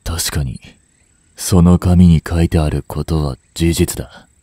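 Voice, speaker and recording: A man in his twenties acting a proud, smooth-talking young prince exposed as a spy, with formal, pleading delivery in a dramatic voice drama. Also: deep voice, steady tone, measured pace, warm tone, dry recording, clean studio sound. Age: 20s. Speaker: amateur voice actor and voice drama creator.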